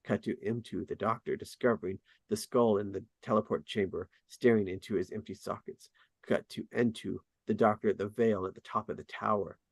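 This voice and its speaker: A man reads stage directions for a drama table read. His voice sits fairly low, and the sound is very dry, with hardly any room around it. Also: fairly even intonation, a little fast, no echo. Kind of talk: scripted narration at a table read.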